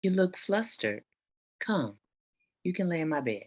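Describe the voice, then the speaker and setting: A woman playing an elderly, courteous but bossy and sinister grandmother in a table read, with a slow, commanding manner. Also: lowish voice, big pitch swings, a little mellow. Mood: insistent. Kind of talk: virtual table read acting.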